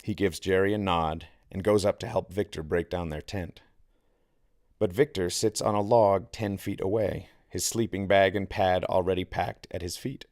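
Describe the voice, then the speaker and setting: A man's voice: deep voice, fairly even intonation, normal speed, a little mellow, no echo, clean studio sound. A man reading their own novel aloud as scripted audiobook narration.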